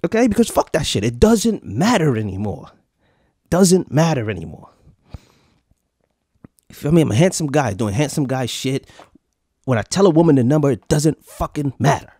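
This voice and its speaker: A man in his thirties riffs to his live audience, swearing freely and repeating lines for laughs. His voice leaps up and down with lively pitch. The sound is close and dry, with no room echo. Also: normal speed, pauses often, breathy edge. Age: 30s. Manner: profane, swaggering, repeats lines for comic effect. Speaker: podcast host. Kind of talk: casual live-stream rant to viewers.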